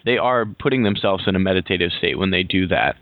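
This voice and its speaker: Low male host, dry close sound, narrow lo-fi band, admiring tone. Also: expressive, fast talker, dry recording. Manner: admiring, praising the guest's book. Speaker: radio show host. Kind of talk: interview and show announcements. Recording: dry, tinny phone-like sound.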